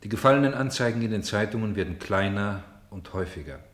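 A solemn male narrator, played by an actor in a German radio drama. His voice sits fairly low and carries through a very roomy space, with measured, declaimed phrasing. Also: lively intonation, close to an everyday voice. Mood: grave. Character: narrator turned player, voicing cities, streets and houses. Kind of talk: German radio play acting.

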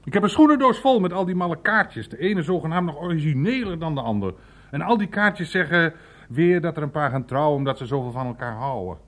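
A man's voice: a little high, animated, brisk, close to an everyday voice. A man playing a blunt, irreverent older uncle in a naturalistic radio play, grumbling and swearing as he rambles. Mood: wry, chatty.

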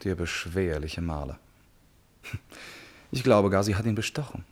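An impulsive young-hearted prince in a stage-classic radio drama. His voice is on the low side and breathy-rough, swinging widely in pitch. He is polite and self-absorbed, with exclamations and musing asides. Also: expressive, measured pace, exaggerated voice. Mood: weary. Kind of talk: classic German radio play acting.

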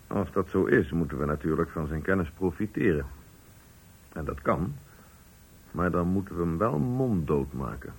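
A low, slightly rough-edged male voice as a company director in a radio drama. He is formal and calculating, talking down to staff in polished, patronising phrases. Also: animated, moderate pace, full character voice. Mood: cool, calculating. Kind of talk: Dutch radio play acting.

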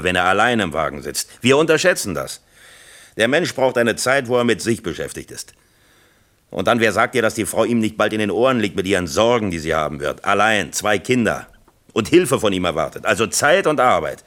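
An authoritative factory party secretary in a radio drama. His voice is fairly low, and he speaks in a brusque, commanding way, lecturing like an official used to being obeyed. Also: lively intonation, a little fast, a bit bright. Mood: firm, lecturing. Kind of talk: German radio play acting.

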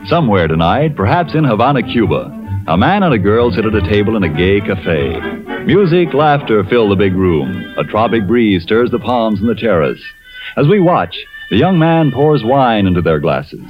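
Old time radio ad guy, very lively delivery, dull dry recording with faint music. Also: on the low side, normal speed. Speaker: commercial announcer. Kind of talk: 1940s radio advertising, scripted narration.

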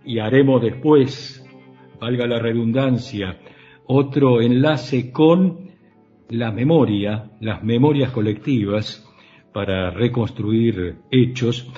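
Slow, mellow-voiced interviewer. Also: male voice, mid-range voice, highs cut off. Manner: slow, measured, formal questions. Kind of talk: radio interview.

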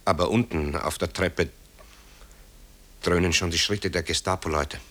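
A very deep male voice, grave and dramatic, plays a composer son tormented by guilt. The delivery is halting and confessional, in the manner of a German radio play. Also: fairly bright tone. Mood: anguished, urgent.

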